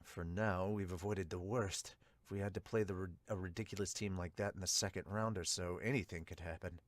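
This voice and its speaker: A man voicing serious baseball characters in a manga dub, speaking in a hushed, measured manner. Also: low-pitched, fairly even intonation, no room sound, pitched below the performer's own voice, lightly acted. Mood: grave. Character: low, serious male baseball characters and narrator.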